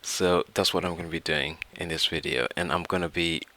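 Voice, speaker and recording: Deep-voiced, bright-toned man presenting. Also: fairly even intonation, a little fast. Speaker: tutorial presenter. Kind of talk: informal software tutorial narration.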